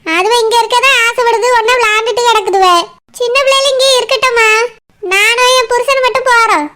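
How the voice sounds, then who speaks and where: A practical young village wife from a cartoon, voiced by a woman, extremely high and sharp in tone. She states her opinions matter-of-factly in a Kanyakumari Tamil accent. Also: fairly even intonation, fast talker. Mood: practical, objecting. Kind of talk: village cartoon drama acting.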